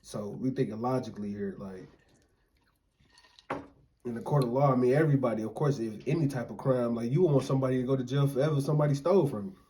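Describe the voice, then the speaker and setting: A man chatting casually with his wife, laying out his view in a relaxed, reasoning way. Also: medium pitch, quick pace, a little hiss or hum. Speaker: co-host, husband. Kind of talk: casual chat.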